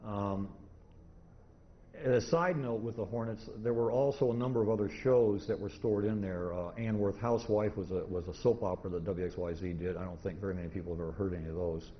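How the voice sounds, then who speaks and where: A middle-aged American man with a fairly low voice gives an informal talk, picking through his points haltingly and methodically. He tells it as anecdote. The recording is somewhat dull, with noise behind him. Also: fairly even intonation. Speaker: presenter, old-time radio collector. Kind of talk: informal convention lecture. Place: convention presentation room. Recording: dull, noisy live room recording.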